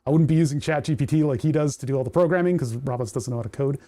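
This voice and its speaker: A man hosting a casual coding livestream, rambling and chatty with frequent laughs, his voice starting to go hoarse. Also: medium pitch, very fast, dark tone. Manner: rambling, chatty, laughs often, reads chat aloud. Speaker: livestream host.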